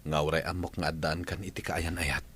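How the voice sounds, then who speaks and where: A man's voice: extremely low pitch, flat delivery, normal speed, fairly bright tone, a little hiss or hum. A man with an Ilocano accent playing a devoted young sweetheart in a radio drama. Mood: devoted, reassuring.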